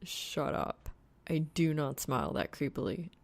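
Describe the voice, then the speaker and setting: Woman voicing a grumbling, creaky young gamer guy. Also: low voice, expressive, unhurried, no echo, full character voice. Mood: indignant. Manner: sardonic, profane, awkward. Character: grumbling, easily mortified young male gamer.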